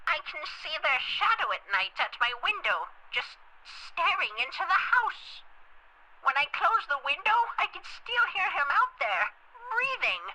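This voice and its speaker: A woman's voice: high-pitched, bright, forceful tone, full character voice. Frightened older woman on the phone. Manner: hesitant, pleading. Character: frightened, sleepless older woman caller to a hotline. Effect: telephone filter. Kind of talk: video game voice acting. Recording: tinny telephone line.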